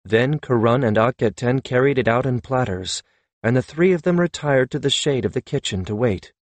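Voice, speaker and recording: A man's voice: medium pitch, moderate pace, dry recording. A man reading a fantasy novel aloud as an audiobook narrator.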